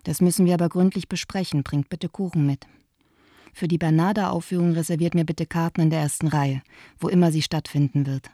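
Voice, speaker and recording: A wry wistful woman reading her letters, radio play, soft dark voice, really dry studio. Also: sits low, fairly even intonation, a little fast, no echo. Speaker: radio drama actress.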